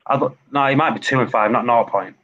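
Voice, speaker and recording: A man's voice: mid-range voice, quick pace, a bit bright. A British man talking casually in an online hobby chat. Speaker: community chat participant. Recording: dull lo-fi voice chat, faint noise.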